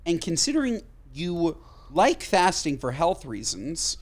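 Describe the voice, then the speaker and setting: Man, podcast co-host, casual chat, emphatic, profane, slightly high voice. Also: big pitch swings. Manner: emphatic, swears casually, talks in quick bursts.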